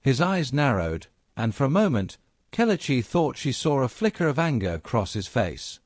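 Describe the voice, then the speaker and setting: A man's voice: medium pitch, big pitch swings, normal speed, dry recording, studio quality. A man reading a scripted dramatic story as a narrator.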